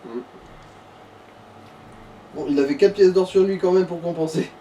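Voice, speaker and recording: A man's voice: high voice, moderate pace, mellow, lo-fi sound. A man chatting casually as he guides a friend through a video game, dry and slangy. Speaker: co-commentator guiding the player. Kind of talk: casual gaming chat. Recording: slightly distant mic, faint background noise.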